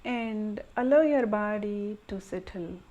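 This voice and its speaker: A woman leading a guided meditation in a gentle, soothing manner, speaking in short measured phrases with pauses between them. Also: medium pitch, highs cut off.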